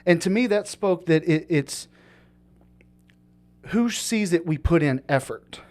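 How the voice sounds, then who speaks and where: A man's voice: on the high side, animated, slow, a little mellow. A man hosting a podcast, talking in a rambling, casual way with lots of fillers. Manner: rambling, many fillers and restarts. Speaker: podcast host. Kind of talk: casual chat.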